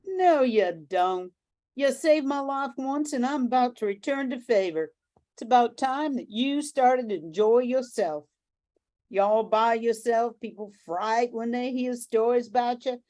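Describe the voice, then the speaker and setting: A bossy old grandmother of the bayou acted by a woman in a radio play. Her voice is fairly high and soft-edged, and she takes her time. She scolds and coaxes in a folksy, kind-hearted way. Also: a little slow, close to an everyday voice. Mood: bossy coaxing. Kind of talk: amateur radio drama acting.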